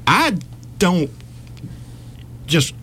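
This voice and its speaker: A man's voice: high voice, sing-song, very slow, bright, forceful tone, slight background noise. A man with a Southern accent, a tradesman speaking bluntly and folksily in a casual podcast chat, often laughing mid-sentence.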